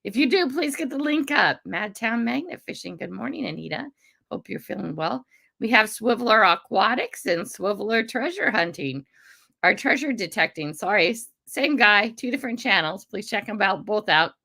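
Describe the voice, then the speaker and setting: An older American woman hosting a casual livestream, greeting viewers by name and rambling along, her voice rough and congested from a cold. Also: medium pitch, animated, normal speed. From United States. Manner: chatty, rambling, warm greetings to commenters. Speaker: livestream host.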